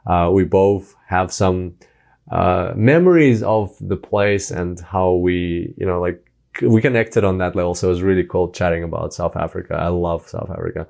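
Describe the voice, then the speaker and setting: A man's voice: low-pitched, normal speed, creaky, darkish tone. A man hosting a podcast, introducing his guest with enthusiastic, filler-filled talk. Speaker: podcast host.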